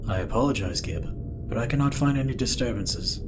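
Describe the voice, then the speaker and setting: A man's voice: medium pitch, lively intonation, a little fast, noisy background, natural voice. A man voicing a polite, formal, precise computer intelligence on a space base in a sci-fi audio drama. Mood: neutral, formal.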